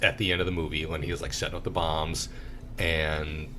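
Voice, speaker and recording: A deep, low-voiced man chatting casually as a podcast co-host. He sounds laid-back, swears freely and laughs often. A faint hiss of noise sits behind him. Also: level tone, brisk. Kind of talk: casual movie review chat.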